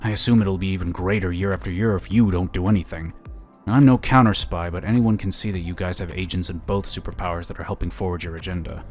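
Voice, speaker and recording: An actor playing a slick, smug lobbyist in a sci-fi audio drama, chatty and condescending. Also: male voice, low voice, steady tone, quick pace, a little mellow, soft background music, close to an everyday voice. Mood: confiding, scheming. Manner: chatty, smooth-talking, condescending. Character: smug, slick, scheming male political lobbyist.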